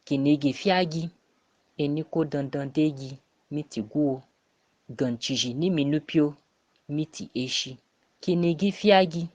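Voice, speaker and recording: A voice of medium pitch speaks as an academic contributor giving an answer. The sound is dry, with hardly any room around it. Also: normal speed, a little mellow, no echo, dull audio. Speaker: academic answering a monthly question.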